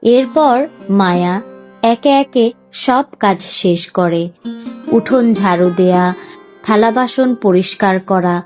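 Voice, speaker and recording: A woman narrating a scripted cartoon story in an expressive storybook manner. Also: medium pitch, slow, pauses often, faint music behind.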